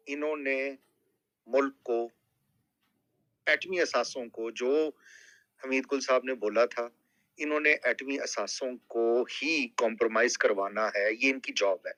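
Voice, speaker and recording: A man with a Pakistani accent in a live political discussion, speaking emphatically and deliberately, often checking that listeners agree. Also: medium pitch, pushed and bright, dry recording. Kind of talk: impassioned political talk in a live group audio discussion.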